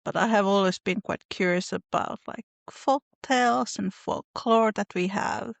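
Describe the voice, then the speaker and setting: A woman's voice: medium pitch, a little slow, creaky, dry recording. A woman from northern Finland with a Finnish accent, a guest on a podcast, answering thoughtfully with frequent fillers and restarts over a video call. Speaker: podcast guest, illustrator and folklorist. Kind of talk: casual interview answers.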